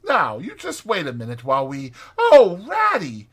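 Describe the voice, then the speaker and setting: A man voicing an eager, fretful, emotional little mole in a storybook, with an excitable, plaintive manner. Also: high-pitched, normal speed, breathy edge, voice pushed up, full character voice. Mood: tearful despair.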